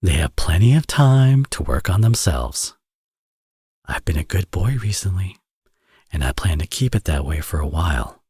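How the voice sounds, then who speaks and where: Man acting a deep, husky flirtatious villain. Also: very animated, mellow, no echo, studio quality, full character voice. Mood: wry, playful. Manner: teasing, sardonic, drawling with drawn-out phrases. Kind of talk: ASMR romance audio roleplay, intimate acting.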